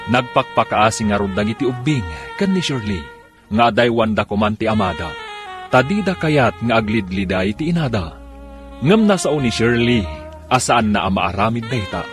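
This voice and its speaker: Theatrical male radio-drama announcer over music. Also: lowish voice. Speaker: announcer and narrator. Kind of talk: scripted radio drama narration. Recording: prominent theme music behind.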